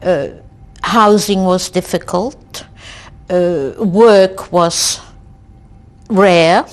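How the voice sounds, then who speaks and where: Unhurried low-voiced woman in her sixties. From Belgium. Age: 60s. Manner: slow, reflective storytelling with frequent hesitations. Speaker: interviewee. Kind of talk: oral history interview. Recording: archival 1990s interview tape.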